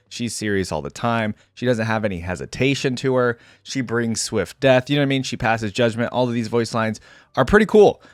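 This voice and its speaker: A man's voice: medium pitch, no room sound, studio quality. A man giving casual game reaction commentary in an informal, chatty way, thinking aloud as he goes. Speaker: reaction video creator.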